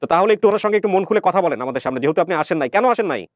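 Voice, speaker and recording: Bangladeshi guy hosting a talk show, talks super fast, firm and bossy with questions, dry sound. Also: sits high, lively intonation, dry recording. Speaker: reunion show host. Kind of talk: interviewing callers on a talk show.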